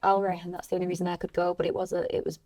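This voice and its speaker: Woman, bit lower voice, candid casual podcast chat, talks a lot. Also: monotone, rapid-fire, a loud crowd. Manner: candid, informal, talkative. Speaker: actress in a podcast conversation.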